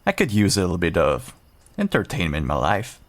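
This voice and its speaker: Seasoned werewolf adventurer for fantasy audio roleplay, male actor: very low, gravelly, gruff yet kindly, teasing and reassuring. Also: huge pitch swings, brisk, exaggerated voice. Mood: amused, agreeable. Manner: reassuring, wry, bantering. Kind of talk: audio roleplay, one-sided dialogue addressed to listener.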